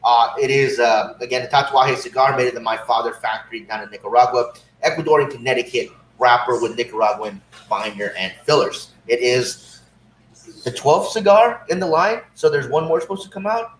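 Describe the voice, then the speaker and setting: Slightly hoarse man in a roomy shop. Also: medium pitch, fairly quick, slight background noise. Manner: relaxed, rambling with many "uh" fillers. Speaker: cigar show co-host. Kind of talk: casual livestream chat. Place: cigar shop lounge. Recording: roomy shop with faint ambience.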